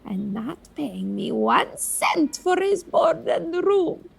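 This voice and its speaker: A woman playing a prim, indignant rooming-house landlady who scolds and hushes in a comedic radio-style audio drama. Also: high voice, big pitch swings, slow, creaky, heavily acted. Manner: fussy, scolding, hushing.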